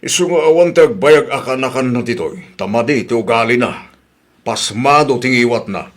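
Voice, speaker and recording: Blunt, fed-up male relative for radio comedy, man actor: mid-pitched, grumbling, admonishing. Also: moderate pace, lightly acted. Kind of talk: radio comedy drama acting.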